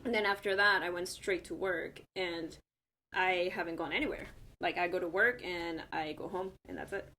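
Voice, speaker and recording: A woman's voice: on the low side, normal speed, bright, forceful tone, slight background noise. A woman in her thirties from Mexico, chatting casually as a guest and laughing between remarks. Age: 30s. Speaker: guest, hairstylist. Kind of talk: casual chat.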